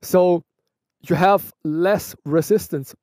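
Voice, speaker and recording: A man's voice: high-pitched, slow pace, darkish tone, no echo, clean studio sound. Chatty Swiss German man outdoors. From Switzerland. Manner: chatty, restarts phrases, laughs often. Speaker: motovlogger. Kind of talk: casual Q&A vlog. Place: outdoors by a roadside bench.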